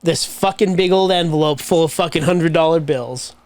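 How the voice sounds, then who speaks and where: A man's voice: fairly high pitch, moderate pace, dry recording. A man telling a long, rambling story on a podcast, swearing casually. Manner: swearing, rambling anecdote with quoted voices. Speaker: podcast co-host.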